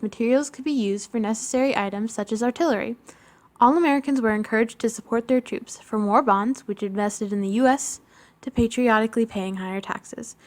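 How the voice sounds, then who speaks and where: A woman reading scripted documentary narration. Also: mid-range voice.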